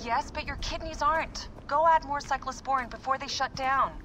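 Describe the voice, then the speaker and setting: An actress playing a dry, bossy medical officer in a video game. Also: female voice, high-pitched, moderate pace, pushed and bright, slight background noise. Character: brisk female medical officer, sardonic.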